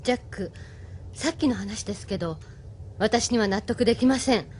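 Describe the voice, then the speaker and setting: An actress playing a polite, loyal female aide who questions gently in an audio drama. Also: fairly high pitch, moderate pace, a little hiss or hum, natural voice. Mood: polite, doubtful. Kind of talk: Japanese audio drama acting.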